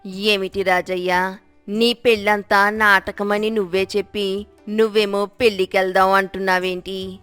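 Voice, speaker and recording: A woman acts out a sly older village gossip from a moral tale. She is theatrical and wheedling, full of exclamations. Her pitch sits in the middle, over faint music. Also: little pitch movement, unhurried, fairly bright tone, smooth, no echo, natural voice. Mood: surprised. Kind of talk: acted moral story dialogue.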